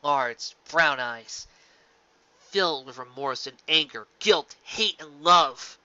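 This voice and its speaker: A man's voice: on the high side, expressive, takes it slowly, bright, forceful tone, no room sound. A man reading scripted fan-fiction narration as a dramatic storyteller, intense and emotive. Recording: dull lo-fi home recording.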